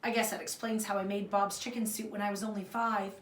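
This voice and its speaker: A woman's voice: medium pitch, fast. Roomy, distant-sounding woman reading a storybook. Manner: expressive, acts out the dialogue. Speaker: fourth grade teacher reading aloud. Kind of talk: children's storybook read-aloud narration. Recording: roomy echo, distant mic, faint noise.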